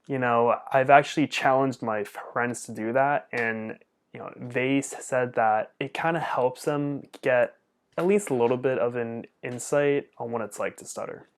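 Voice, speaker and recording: A man's voice: mid-range voice, a little slow. Young man who stutters, answering questions. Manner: stutters often, with repeated first sounds. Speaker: speech pathologist answering viewer questions. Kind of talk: casual Q&A monologue.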